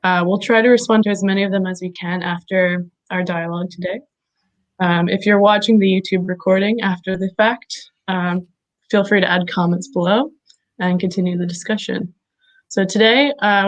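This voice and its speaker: A woman's voice: medium pitch, steady tone, normal speed, low-quality recording. A woman hosting an online video talk, friendly and hesitant, with many ums. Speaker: talk host. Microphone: video call.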